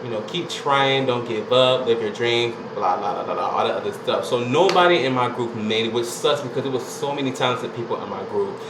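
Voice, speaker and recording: A man's voice: mid-range voice, brisk, hardly any pauses. Chatty young man. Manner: laid-back, chatty, lots of "you know" and "like". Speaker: vlogger. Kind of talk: casual storytelling vlog. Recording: echoey room with air conditioner hum.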